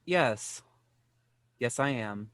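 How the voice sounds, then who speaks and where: An actor playing a lonely, ashamed man in his twenties, speaking in a halting, confessional way in an audio drama. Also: medium pitch, animated, a bit bright, clear, resonant tone, no echo. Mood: sad, ashamed. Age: 20s. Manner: halting, confessional, repeats himself. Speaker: podcast host acting in own audio drama.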